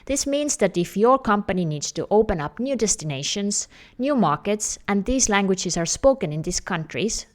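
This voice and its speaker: A woman in her thirties with an Estonian accent, a job search coach talking straight to camera in an earnest, explanatory way. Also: lowish voice. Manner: earnest, explanatory, with non-native phrasing. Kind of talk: direct-to-camera advice video.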